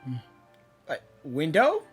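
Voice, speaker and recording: A woman reacting to a game, murmuring along and breaking into sudden excited outbursts. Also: deep voice, slow pace, faint music behind. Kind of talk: live reaction commentary.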